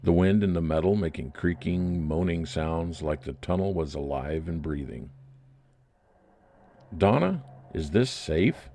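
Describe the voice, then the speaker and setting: A man's voice: very deep voice, fairly even intonation, normal speed, few pauses, dark tone, slight background noise. A man narrating a scripted first-person detective story in old-time radio style.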